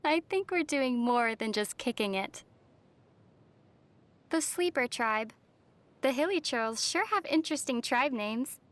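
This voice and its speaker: A woman voicing a young traveler heroine in a video game, gentle and wry with a soft, teasing manner. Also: on the high side, expressive, a little slow, pauses often. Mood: calm.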